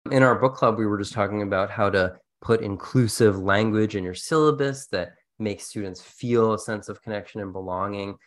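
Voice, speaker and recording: A man's voice: fairly low pitch, moderate pace, hardly any pauses. A man hosting an educational podcast in a casual, conversational way with a jokey streak. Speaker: podcast host.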